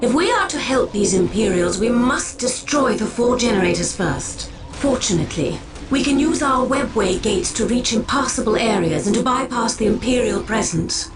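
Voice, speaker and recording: A woman playing an aloof, disdainful alien elf seer in a video game cutscene, with a haughty, measured, theatrical manner. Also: fairly high pitch, animated, background noise, close to an everyday voice. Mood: calculating, aloof. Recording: music behind the voice.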